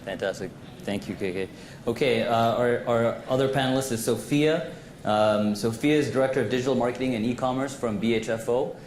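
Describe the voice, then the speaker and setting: A man's voice: mid-range voice, fairly quick, a little mellow. A man moderating a conference panel in a casual, loose way with many restarts. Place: conference hall stage. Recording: echoey hall, faint noise.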